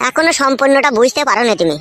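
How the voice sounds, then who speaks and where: A man's voice: very high voice, lively intonation, racing, sharp tone, full character voice. A man voicing a downtrodden, naive young village husband in a comic cartoon, plaintive and deferential. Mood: confiding, aggrieved. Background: music.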